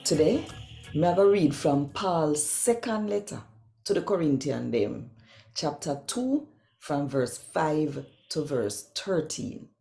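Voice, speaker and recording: A woman with a Jamaican accent, reading scripture and addressing viewers in an expressive, conversational and emphatic way. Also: sits low, takes it slowly, vocal fry, soft background music.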